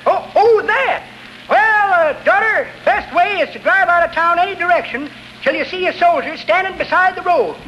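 A man playing a cheerful, folksy old rascal with a thin voice and rustic dialect in a 1940s radio comedy. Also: very high-pitched. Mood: cheerfully folksy. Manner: rustic dialect, drawn-out tall-tale telling. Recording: muffled, noisy 1940s radio recording.

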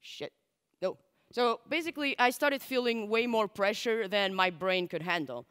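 A woman's voice: medium pitch, moderate pace, a bit bright, clear, resonant tone. Chatty woman speaker in a reverberant hall. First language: Portuguese. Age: 20s. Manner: candid and chatty, full of likes and asides. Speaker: conference speaker. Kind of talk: casual conference talk. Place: large dark stage with audience. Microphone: headset mic.

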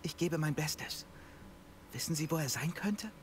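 Game role: earnest teenage wizard boy, high voice, noisy effects behind. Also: expressive, a little slow. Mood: earnest, concerned. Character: earnest teenage male student wizard hero, shouting spells. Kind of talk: video game voice acting.

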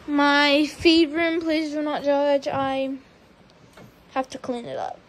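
A woman's voice: high-pitched, takes it slowly. A young woman giving a casual tour of her barn, chatty and giggly, cooing at her cats, recorded on a handheld phone indoors. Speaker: vlogger. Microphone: phone held in hand.

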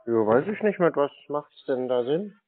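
A man's voice: mid-range voice, animated, normal speed. A man in a casual phone conversation, acting clueless and asking questions as a customer. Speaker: scam-baiting prank callee posing as customer. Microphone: phone call. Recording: muffled narrow-band call audio.

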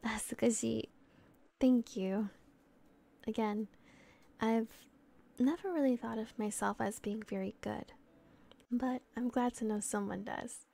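A young woman playing a friendly, bashful, teasing older sister in a gentle, chatty ASMR roleplay. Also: fairly high pitch, measured pace, creaky, pauses often. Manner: gentle, giggly, bilingual English and Japanese. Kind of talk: soft ASMR roleplay, casual chat.

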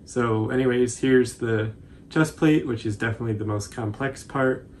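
A man in his twenties giving a casual, informal tutorial commentary in a halting, hesitant way. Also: mid-range voice, a little hiss or hum. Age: 20s. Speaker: cosplay tutorial presenter.

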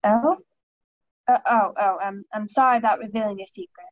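A woman's voice: mid-range voice, normal speed, no echo, close to an everyday voice. A young woman playing a plucky, rueful American woman in a radio play, on slightly muffled audio.

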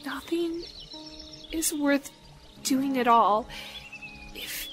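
A woman's voice: high voice, drawn-out words, over music. A woman playing a devout missionary pleading in prayer in an audio drama. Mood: pleading, prayerful. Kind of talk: slow audio drama monologue.

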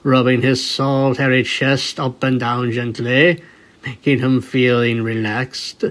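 A man's voice: mid-range voice, little pitch movement, slow, a bit bright. A man reading a scripted story aloud as its narrator. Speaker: narrator of a fan fiction reading. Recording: music bed behind the voice.

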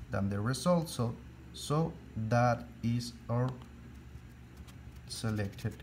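A man with a Spanish accent, Spanish his first language, teaching an electrical calculation slowly step by step, halting and restarting phrases as he searches for English words. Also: medium pitch, vocal fry, background noise. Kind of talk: slow step-by-step tutorial.

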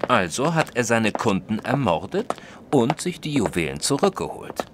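An actor playing a modest, sensible male companion who narrates a detective audio drama in a measured, literate way. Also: medium pitch, very animated, background noise, natural voice. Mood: mildly puzzled. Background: music.

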